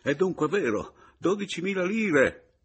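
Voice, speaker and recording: Un uomo che fa una governante eccitata, voce molto acuta. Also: male voice, sits high, very animated, unhurried, slight background noise, voice pushed up. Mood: distraught. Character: excitable housekeeper woman, loud and devout.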